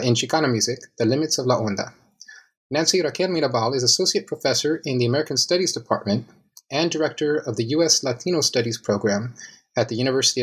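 A man's voice: mid-range voice, fairly bright tone, husky. A man hosting an academic podcast, reading formal guest introductions and then talking with frequent "uh" fillers. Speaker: podcast host.

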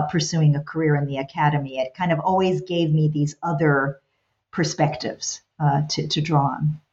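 A middle-aged woman whose first language is Hungarian talks through her past in a thoughtful, halting way, with frequent restarts. Her voice sits very low for a woman. The recording is dull and lo-fi. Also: a little mellow. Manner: thoughtful, many restarts and fillers. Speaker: guest, college president. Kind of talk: reflective interview answers.

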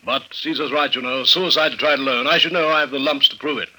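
An actor playing a jaunty, unflappable English clerk who climbs mountains, with a British accent and breezy, clipped understatement, in old-time radio style. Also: male voice, medium pitch, fairly even intonation, quick pace, bright, forceful tone, poor audio. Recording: vintage radio drama recording.

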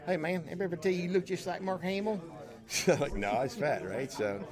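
A man's voice: a little high, fast talker. A middle-aged man telling casual anecdotes as an interview guest, joking and acting out what people said. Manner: jokey, acts out quoted dialogue. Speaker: interview guest, costumed charity volunteer. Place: convention booth in a media room. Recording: busy convention crowd behind him.

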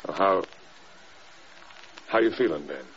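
A man's voice: low voice, expressive, fairly bright tone. An American man acting a stern, burdened air force general in a mid-century radio drama, with reserved military speech. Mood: awkward, restrained concern. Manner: halting, reserved, clipped military talk. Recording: dull old radio recording with noise.

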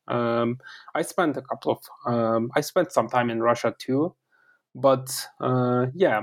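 A man from Turkey, a native Turkish speaker with a Turkish accent, answering as an interview guest in a conversational, halting way that repeats words while searching for phrasing. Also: mid-range voice. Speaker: interview guest, author and academic.

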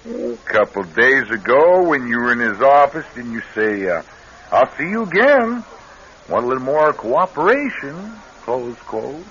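A breezy, lilting private eye. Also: male voice, mid-range voice, big pitch swings, moderate pace, fairly bright tone. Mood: smug, needling. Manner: folksy, needling, casual. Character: breezy, wily male private detective, smugly amused. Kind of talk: radio crime drama acting. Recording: dull, hissy old radio recording.

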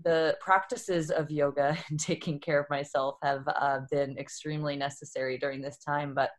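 A woman's voice: low-pitched, flat delivery, moderate pace. A woman in her thirties from Boston, a yoga therapist telling her story as a podcast guest in a reflective, open way, laughing often. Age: 30s. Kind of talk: casual interview talk.

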